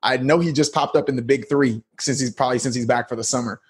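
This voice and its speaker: Male guest, 30s, husky, casual slang-heavy storyteller. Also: mid-range voice, steady tone, fast. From United States. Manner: laid-back, slangy storytelling. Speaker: podcast guest. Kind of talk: casual interview talk.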